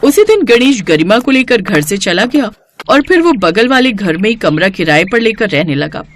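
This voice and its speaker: A woman's voice: medium pitch, sing-song, normal speed, a little hiss or hum. A woman narrating a scripted moral story in a storyteller's style.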